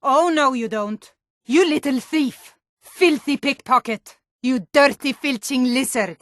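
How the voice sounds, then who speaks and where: Stern, high-voiced fantasy Nord townswoman. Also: unhurried, a bit bright, no echo, clean studio sound. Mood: angry, warning. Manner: blunt, challenging, emphatic. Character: stern, suspicious, hot-tempered Nord townswoman of a fantasy world. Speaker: game character voice. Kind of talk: video game voice lines.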